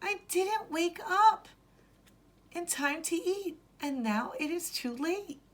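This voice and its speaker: Woman voicing an excitable, high-pitched little boy. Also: takes it slowly, full character voice. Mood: dismayed. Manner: exclaiming, plaintive. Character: excitable, anxious little boy, earnest and tearful. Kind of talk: children's storybook read-aloud.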